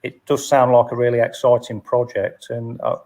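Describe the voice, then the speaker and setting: A man's voice: mid-range voice, little pitch movement, normal speed, a little mellow. A man hosting a casual chat in an agreeable, hesitant way. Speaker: host.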